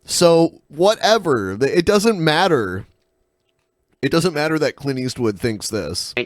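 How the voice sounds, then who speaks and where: A man's voice: on the high side, animated, slow pace, no echo, studio quality. A man co-hosting a casual podcast, exasperated and dismissive, swearing freely. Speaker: podcast co-host.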